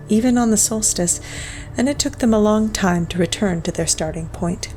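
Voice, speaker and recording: A woman reading a dark folk-horror story as scripted narration, in a solemn, measured storytelling manner. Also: lowish voice.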